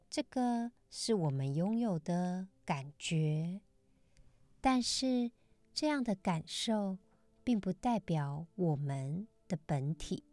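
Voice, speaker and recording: A woman hosting a meditation podcast, giving a calm scripted mindfulness talk in measured, gentle phrases with frequent pauses. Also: fairly low pitch, dry recording. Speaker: host of a meditation and Buddhist teaching podcast.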